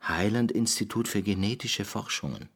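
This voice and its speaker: An actor playing an anxious, secretive presidential candidate in an intimate radio drama, with a husky, breathy voice. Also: male voice, lowish voice, animated, moderate pace. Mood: uneasy, confiding.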